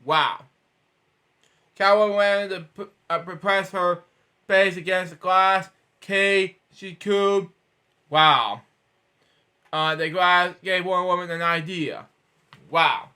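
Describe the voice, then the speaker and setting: A man's voice: sits high, fairly even intonation, takes it slowly, long pauses, pushed and bright. A man reading a children's storybook aloud, stumbling over words and breaking off for rambling asides of his own. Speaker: storybook reader.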